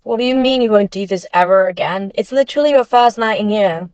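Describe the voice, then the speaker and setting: A woman's voice: mid-range voice, a little fast, darkish tone, clean tone, no room sound, natural voice. A young woman playing a supportive, teasing teenage schoolgirl in an amateur voiced game series, speaking in a casual, breezy way. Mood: teasing, reassuring. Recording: dull lo-fi voice track.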